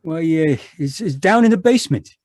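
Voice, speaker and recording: A man's voice: a little high, animated, moderate pace, a little mellow, no room sound, studio quality, close to an everyday voice. A man playing a surly, defensive crook with blustering, slangy talk in an old-time radio drama. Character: surly, defensive male crook, nervy husband. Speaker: actor. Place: live online video call.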